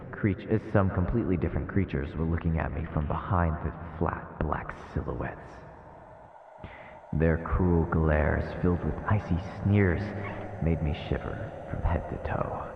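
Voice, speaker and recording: A man's voice: deep voice, fairly even intonation, normal speed, a little hiss or hum. A man streaming a horror visual novel, reading it aloud as dramatic, ominous narration. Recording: echoey reverberant muffled sound with music. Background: game music.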